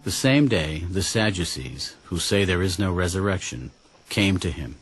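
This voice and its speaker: Deep-voiced male narrator over music. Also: lively intonation, moderate pace. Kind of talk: scripted scripture narration.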